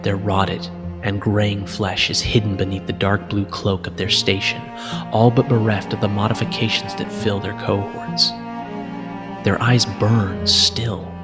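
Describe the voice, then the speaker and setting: Male narrator, low register, solemn and measured dramatic story reading, music clearly underneath. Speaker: narrator reading fan fiction.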